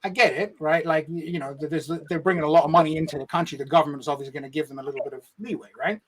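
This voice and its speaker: Rambling, profane man, slightly high voice. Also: steady tone, very fast, husky, rough recording. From Egypt. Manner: rambling, profane, frequent "right?" tags. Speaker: podcast guest. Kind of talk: casual storytelling interview.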